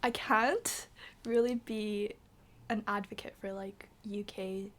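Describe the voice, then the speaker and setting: A woman in her late teens from Macau with a slightly British-tinged accent, chatting as a podcast guest, full of fillers and trailing into soft half-whispers. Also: medium pitch, fairly even intonation, slow. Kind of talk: casual chat.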